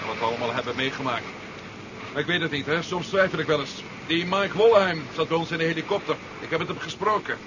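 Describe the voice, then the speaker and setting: An actor playing an earnest, doubting hero in a 1970s science fiction radio play. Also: male voice, on the high side, quick pace, natural voice. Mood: doubtful, earnest. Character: earnest, doubting male hero, friend of the general. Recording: dull, noisy 1970s radio drama recording.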